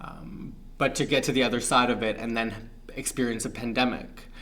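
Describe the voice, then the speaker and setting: A young man from Pakistan, a guest on an interview show, talking in a reflective, measured way with frequent fillers. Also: mid-range voice, fairly even intonation, a bit bright. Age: young adult. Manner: thoughtful, measured, many fillers.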